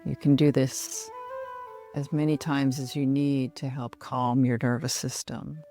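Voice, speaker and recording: A middle-aged woman guiding a relaxation meditation in a soothing, measured manner with long pauses. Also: extremely low pitch, flat delivery, warm tone, soft background music. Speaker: yoga nidra meditation guide.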